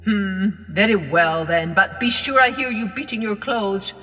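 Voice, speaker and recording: A woman's voice: medium pitch, lively intonation, unhurried, bright, forceful tone, long reverb tail, faint music behind. Woman voicing a harsh, bossy male condor. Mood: stern, threatening. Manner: bossy, scolding, commanding. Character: tyrannical male condor, harsh and menacing bird master. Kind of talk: children's storytelling.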